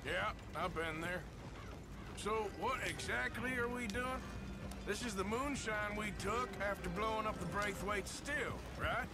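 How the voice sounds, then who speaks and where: An actor playing a rugged, world-weary outlaw gang member, dry and colloquial, in a western video game. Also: male voice, high-pitched, unhurried, loud music behind the voice. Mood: dry, questioning. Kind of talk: video game cutscene acting.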